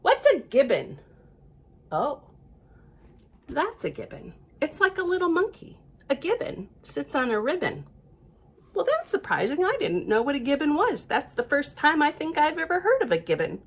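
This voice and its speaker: A woman's voice: sits high, wildly swooping pitch, moderate pace. A woman reading a picture book aloud at storytime, adding chatty asides and asking her young listeners questions. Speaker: library storytime reader.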